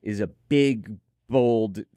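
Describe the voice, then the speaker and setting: Low-voiced man reviewing audio gear. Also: extremely slow, dark tone, no echo. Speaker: headphone show co-host reviewer. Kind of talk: conversational product review commentary.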